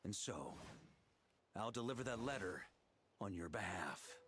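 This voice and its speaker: A self-assured, seasoned knight commander speaks unhurriedly in a lowish voice. His delivery is relaxed and wry, and his pitch rises and falls with plenty of life. Soft game music sits behind him. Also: a little slow, slight background noise. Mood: calm. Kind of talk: video game voice acting.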